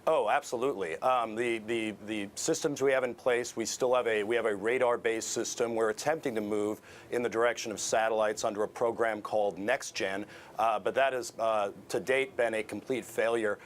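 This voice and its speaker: A man with an American accent giving explanations as an expert guest in a news interview, in a hesitant, halting way. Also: lowish voice, steady tone, a little hiss or hum.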